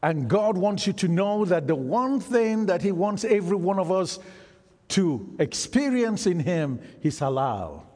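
Slow-speaking preacher in a reverberant hall. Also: male voice, high-pitched, very animated, mellow. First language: Isoko. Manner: engaging, quizzes the congregation. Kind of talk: sermon. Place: church hall.

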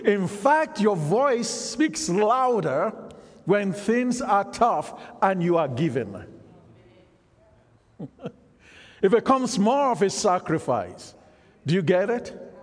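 A man's voice: high-pitched, very animated, slow pace, pauses often. A man, Isoko his tongue, preaching a sermon and engaging the congregation with questions. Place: church hall. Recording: reverberant hall.

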